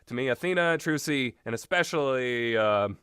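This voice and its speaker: A man's voice: mid-range voice, expressive, bright, forceful tone, clear, resonant tone, no room sound, clean studio sound. Blunt, rambling man with opinions. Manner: blunt, exasperated, sweary, halting. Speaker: video game reviewer. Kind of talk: rambling, opinionated casual commentary.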